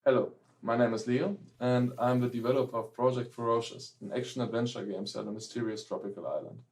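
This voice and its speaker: Male indie developer, prepared introduction to camera, mid-range pitch. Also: darkish tone, rough recording. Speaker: game developer. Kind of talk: scripted self-introduction.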